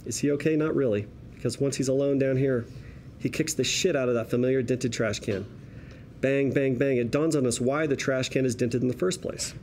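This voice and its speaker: Dark-toned man narrating a screenplay. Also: medium pitch, steady tone, brisk, a little hiss or hum. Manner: wry, colloquial storytelling. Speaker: narrator reading stage directions. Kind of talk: scripted screenplay narration, present tense.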